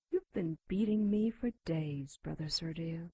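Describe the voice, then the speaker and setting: A woman playing a seductive, mocking captive female demon in an audio drama, taunting with breathy whispers and moans. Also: deep voice, warm tone, dry recording, heavily acted. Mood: sultry mocking.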